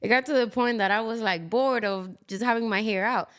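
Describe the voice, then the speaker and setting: Smooth-voiced woman, laughing guest. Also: on the high side, fairly quick. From Dominican Republic. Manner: animated, laughs often, many fillers. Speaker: guest, model. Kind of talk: casual interview chat. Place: South Florida.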